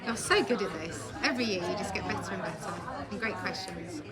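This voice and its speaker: A woman's voice: mid-range voice, brisk. A woman giving a casual interview, chatty and emphatic, thinking aloud as she answers. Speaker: interviewee, pantomime writer, director and performer. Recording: loud music and crowd chatter behind. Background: loud music and crowd of people.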